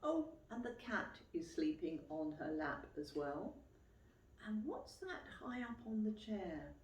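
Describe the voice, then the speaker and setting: A woman reading a picture book aloud to young children as a teacher would, expressively and clearly phrased, pausing to explain and ask questions. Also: mid-range voice, very animated, a little mellow. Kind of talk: children's storytime read-aloud with questions to listeners. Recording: roomy, echoey, distant microphone.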